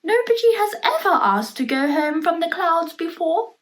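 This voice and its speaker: Woman voicing a high, regal storybook queen. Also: animated, moderate pace. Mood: puzzled, commanding.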